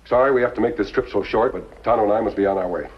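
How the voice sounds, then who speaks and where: An actor playing a courteous, resolute masked western hero with formal manners in a 1950s television show. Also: male voice, lowish voice, rapid-fire, breathy edge. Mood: earnest, courteous. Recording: muffled, noisy old television soundtrack.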